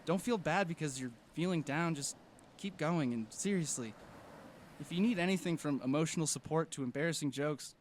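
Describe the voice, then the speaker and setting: A man's voice: a little high, normal speed, slight background noise, lightly acted. A man playing a supportive, jokey teenage boy, an earnest best friend in an audio drama. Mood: earnest, comforting. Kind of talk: fiction podcast audio drama acting.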